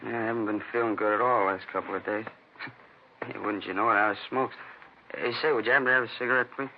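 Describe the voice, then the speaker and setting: A man acts a worn-out, nervous burglary suspect in a vintage radio drama. He is uneasy and pleading, with a low voice and quick delivery. The sound is muffled, with a little noise. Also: fairly even intonation, close to an everyday voice. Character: tired, jittery, sickly male burglary suspect, evasive and pleading. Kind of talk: 1950s radio crime drama acting. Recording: muffled old radio recording, faint noise.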